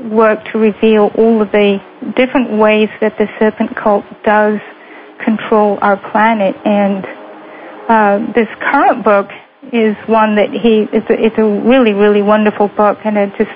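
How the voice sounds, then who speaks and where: A woman with a faded Lancashire accent, hosting a radio talk show and rambling warmly to fill dead air. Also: medium pitch. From Lancashire, England. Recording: dull lo-fi radio audio with faint hiss.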